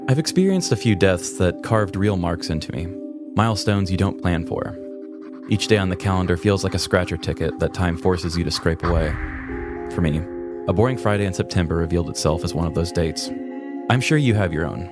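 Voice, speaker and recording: Guy podcast host, deep voice, casual storytelling, music under it. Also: animated, brisk. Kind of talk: casual podcast talk and narration.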